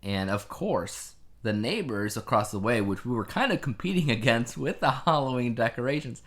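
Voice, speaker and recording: A middle-aged man co-hosts a podcast with an average-pitched voice. He banters casually and teases a little. He tends to repeat his phrases as he goes. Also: lively intonation, moderate pace, fairly bright tone. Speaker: podcast co-host.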